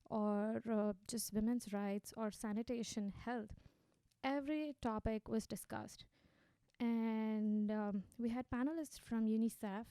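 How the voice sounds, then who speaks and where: A woman in her thirties from India with an Indian accent, a native Hindi speaker, telling her story with animated warmth as a guest in a radio interview. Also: medium pitch, clear, resonant tone, no room sound.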